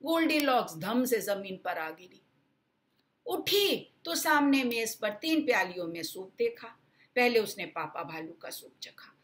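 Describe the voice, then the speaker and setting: A woman in her sixties narrates for small children. Her voice sits fairly high and swings widely in pitch. She stresses words dramatically and talks straight to her young listeners. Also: a little slow. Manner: animated, dramatic emphasis, addresses children directly. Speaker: children's storyteller, channel host. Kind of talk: expressive bedtime storytelling for children.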